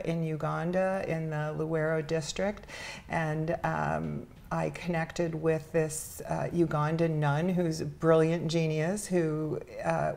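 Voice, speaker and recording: A middle-aged woman with an American accent, telling her personal story earnestly and reflectively as an interview guest, pausing between phrases. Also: fairly low pitch. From New York City, United States. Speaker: interview guest, charity founder.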